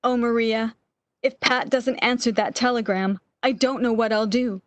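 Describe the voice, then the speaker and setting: An actress plays a sick, bedridden woman in a radio drama, high in voice and recorded very dry. She is anxious and full of regret, pleading and questioning as she longs for a lost love. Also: fairly even intonation, normal speed, dry recording, lightly acted. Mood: anxious, regretful. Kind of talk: radio play acting.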